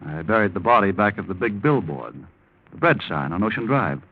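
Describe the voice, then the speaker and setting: Glib, cocky young schemer. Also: male voice, lowish voice, very animated, fairly quick, no echo. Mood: smug. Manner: glib, sneering, mocking. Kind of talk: 1940s radio drama acting. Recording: muffled old 1940s radio recording.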